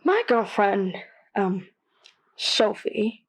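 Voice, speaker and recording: A woman playing a flustered, apologetic caller to a radio advice show in an audio drama, talking in a nervous, halting way. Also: mid-range voice, very animated, exaggerated voice, low-quality recording. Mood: nervous, embarrassed. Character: flustered, apologetic young woman with a ghost girlfriend. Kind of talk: naturalistic audio drama phone-in.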